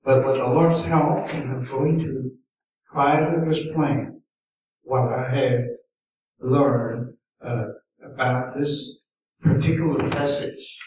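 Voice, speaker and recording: A male preacher whose first language is Mandarin Chinese, delivering a sermon in an unhurried way with long pauses and gentle emphasis. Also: mid-range voice, steady tone. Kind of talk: slow, deliberate sermon. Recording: echoey hall, distant mic, dull lo-fi sound.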